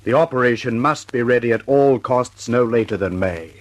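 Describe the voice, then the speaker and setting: Halting, formal English official played by a man. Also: mid-range voice, lightly acted. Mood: formal, measured. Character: formal, awkward senior male government official. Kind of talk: radio drama acting.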